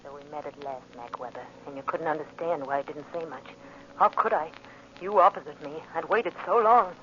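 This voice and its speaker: A woman's voice: low-pitched, a little fast, lightly acted. An actress playing an intense, guarded young woman, hesitant and brooding, in a 1940s radio drama. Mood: brooding, haunted. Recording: muffled old radio recording, faint noise.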